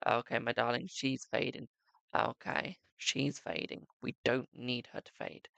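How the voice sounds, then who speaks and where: A man's voice: mid-range voice, unhurried, gravelly, sharp tone, no echo. A man giving a live-stream psychic tarot reading, chatting to viewers and calling them "my darling".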